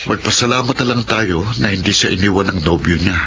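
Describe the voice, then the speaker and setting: An actor playing a hardworking older brother who drives a tricycle, speaking calmly and reassuringly in a Filipino radio drama. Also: male voice, sits low, brisk. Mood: gentle. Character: hardworking older brother supporting his siblings. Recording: dull, noisy radio drama audio.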